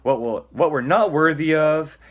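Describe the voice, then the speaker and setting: A man's voice: fairly high pitch, measured pace. A man giving a dramatic, theatrical spoken-word reading, moving between emphatic lines and muttered casual asides.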